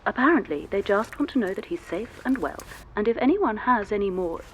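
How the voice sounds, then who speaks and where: Actress as a formal newsreader, lively intonation. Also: female voice, mid-range voice, brisk, slight background noise, lightly acted. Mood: solemn. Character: female television or radio newsreader, composed and formal. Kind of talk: news bulletin reading.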